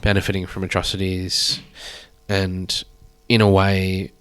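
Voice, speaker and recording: Low-voiced, measured man. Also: completely flat delivery, a bit bright, clear, resonant tone, dry recording. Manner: thoughtful, measured, drawn-out phrasing. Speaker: guest artist. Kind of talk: reflective conversation.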